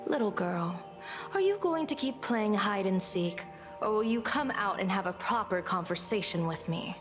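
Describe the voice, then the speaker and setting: A woman voicing a shrewd, composed diviner in an anime game, measured and formal as she probes. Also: mid-range voice, expressive, over music, lightly acted. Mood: wary. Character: composed, shrewd female diviner, coolly interrogating.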